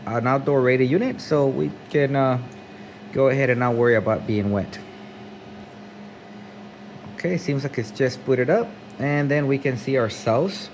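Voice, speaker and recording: Male installer, mid-range voice, informal and explanatory, talking viewers through a job with light work-site noise behind. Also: mid-range voice. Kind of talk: casual on-site walkthrough. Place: work site, partly outdoors. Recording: noisy job-site ambience, vehicle noise.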